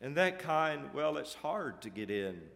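Man as a quivering timid imp, high. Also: very animated, a little slow, gravelly, a bit bright, full character voice. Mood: fearful. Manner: tremulous. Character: timid, quivering imp cowering before its master. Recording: echoey hall with long reverb.

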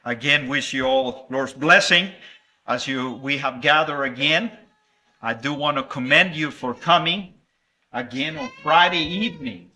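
Bright-voiced preacher. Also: male voice, a little high. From El Salvador. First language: Spanish. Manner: warm, animated and playful with children, with halting restarts. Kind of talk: sermon with a children's object lesson.

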